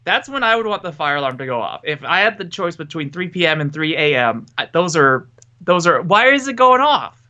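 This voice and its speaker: A man's voice: fairly high pitch, sing-song, brisk, sharp tone, highs cut off. A man co-hosting a podcast in casual chat, joking and rambling, often cutting himself off, wearing headphones. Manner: joking, rambling, self-interrupting. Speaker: podcast co-host. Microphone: headphones with mic.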